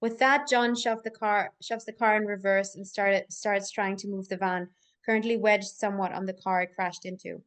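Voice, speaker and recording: A woman's voice: medium pitch, fairly even intonation, normal speed, fairly bright tone, smooth. Woman narrating screenplay stage directions. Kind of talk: scripted narration at a live table read.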